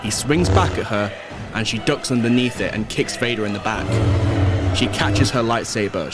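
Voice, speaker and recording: A man narrating a scripted audio drama. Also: lowish voice. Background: music.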